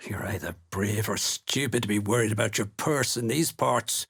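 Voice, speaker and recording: An actor playing a gruff, menacing cult slaver in a video game, with a rough voice and a curt, contemptuous manner. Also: male voice, fairly low pitch, normal speed, fairly bright tone, exaggerated voice. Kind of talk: video game character dialogue.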